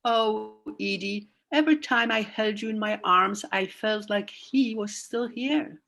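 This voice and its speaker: An actress plays a proud widowed mother in a radio play. Her pace is slow and measured, and her voice sits at an ordinary pitch for a woman. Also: vocal fry, a bit bright. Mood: tender, wistful.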